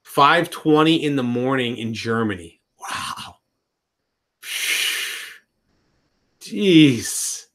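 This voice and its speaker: A man's voice: mid-range voice, expressive, drawn-out words, long pauses, a bit bright. A man in his thirties chatting casually on a live stream from home, rambling and often restarting his sentences. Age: 30s.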